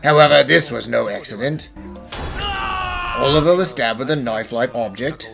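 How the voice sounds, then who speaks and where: A man's voice: mid-range voice, moderate pace, vocal fry, loud music behind the voice, natural voice. A man voicing a dry, slightly exasperated police inspector in an anime fan dub, matter-of-fact and wry. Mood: serious.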